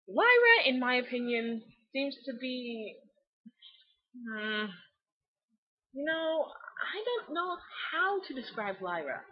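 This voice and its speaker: A woman giving scripted but casual fan commentary in a blunt, slangy, opinionated way, with animated delivery. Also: on the high side, husky. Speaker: fan video series host. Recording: slightly dull lo-fi recording.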